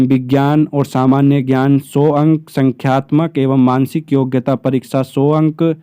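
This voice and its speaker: A man presenting an informational explainer, reading official details in a measured, formal way and explaining them plainly. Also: mid-range voice, dark tone, clear, resonant tone, no echo, clean studio sound. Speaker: exam-prep presenter explaining job notices.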